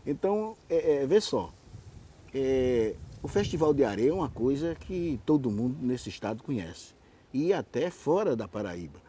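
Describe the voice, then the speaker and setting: A man hosting a conversational TV interview in a halting, wandering way. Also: medium pitch, sing-song, dark tone, husky. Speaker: TV interview host.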